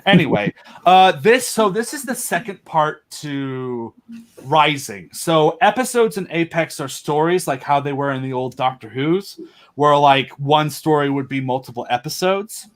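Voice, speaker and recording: A man's voice: on the high side, animated, a little slow. A young man, a podcast guest, chatting casually and enthusiastically, full of fillers and restarts. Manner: rambling, enthusiastic, filler-heavy. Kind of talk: casual chat.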